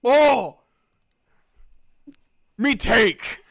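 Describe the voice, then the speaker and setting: A woman voicing a simple, eager, excitable male helper in a tabletop game, talking in broken few-word bursts. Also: on the high side, drawn-out words, creaky, dry recording, clean studio sound, full character voice. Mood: excited, eager.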